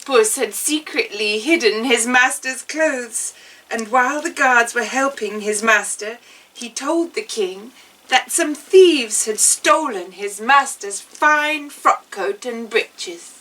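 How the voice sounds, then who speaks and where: A woman reading a children's fairy tale as a storyteller witch, in a theatrical, measured way. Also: fairly high pitch, sharp tone, distant mic, rough recording. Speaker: storyteller playing an amusing witch.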